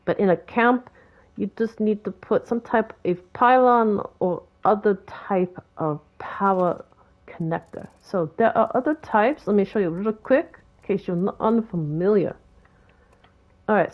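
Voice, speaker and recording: A woman's voice: medium pitch, moderate pace, highs cut off. A woman with a Boston accent, giving rambling, chatty commentary for a game tutorial. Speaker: game video creator. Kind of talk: casual gameplay tutorial commentary.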